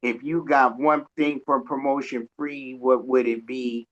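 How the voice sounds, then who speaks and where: A man's voice: mid-range voice, little pitch movement, unhurried. A man co-hosting a radio show, chatting casually and telling anecdotes in a rambling, folksy way. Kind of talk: casual conversational interview, anecdotes. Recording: dull, lo-fi audio.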